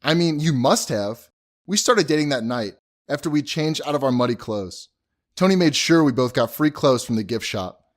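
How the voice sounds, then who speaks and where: A man's voice: mid-range voice, animated, moderate pace, close to an everyday voice. A man playing an easygoing, cheeky young husband, telling things informally in an audio drama. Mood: amused storytelling. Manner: informal, chatty storytelling.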